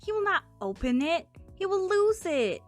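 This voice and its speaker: A woman's voice: extremely high pitch, sing-song, moderate pace, soft background music. A woman voicing a cheerful, quirky teenage girl who chats playfully in a fan dub, with an airy, teasing manner. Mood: cheerful, breezy.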